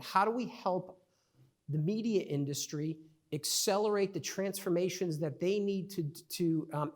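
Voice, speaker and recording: New York man giving a conference talk. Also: high voice. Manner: conversational, many fillers and restarts. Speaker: conference speaker. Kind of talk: conference presentation.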